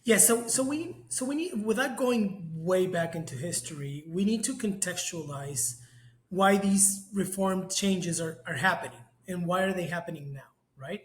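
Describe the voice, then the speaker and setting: Man explaining trade in an echoey room. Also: high voice. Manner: measured, explanatory, frequent "right?". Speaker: trade expert guest. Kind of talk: explanatory interview talk.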